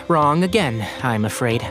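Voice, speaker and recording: A man playing a young forest ranger in video game cutscene dialogue, dry and understated. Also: mid-range voice.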